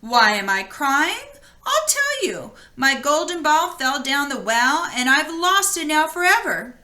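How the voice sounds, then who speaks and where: A woman's voice: sits high, sing-song, unhurried, pushed and bright, voice pushed up, full character voice. A woman voicing a spoiled, dramatic young princess in a storybook fairy tale. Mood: tearful indignation.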